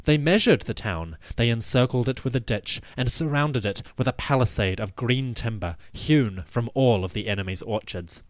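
A man hosting and narrating a scripted history piece, with measured, emphatic storytelling. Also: mid-range voice, dry recording. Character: commanding victorious pharaoh addressing his army. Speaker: podcast host and narrator.

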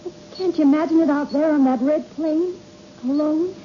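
A haunted, anxious wife, played by a woman in a vintage radio play. Her voice sits high and she speaks with halting, dramatic intensity. The old recording is dull, with a layer of background noise. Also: natural voice. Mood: distraught. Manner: fraught, dramatic, halting delivery.

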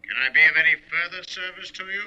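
A man's voice: high voice, fairly even intonation, quick pace, sharp tone, smooth. An actor playing a helpful male investigator reporting formally over the telephone in a 1950s radio drama. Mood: businesslike, helpful. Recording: dull old radio recording.

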